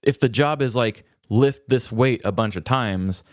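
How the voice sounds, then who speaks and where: A man's voice: medium pitch, a little slow, no room sound, clean studio sound. A male podcast co-host chatting casually in a candid, self-deprecating way. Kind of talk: casual conversational chat.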